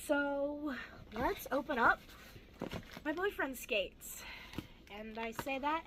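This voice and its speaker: A teenage girl with a hoarse, gravelly voice, chatting casually and rambling through a crafting vlog with self-deprecating asides. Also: fairly high pitch, noisy background. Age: teens. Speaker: craft vlogger.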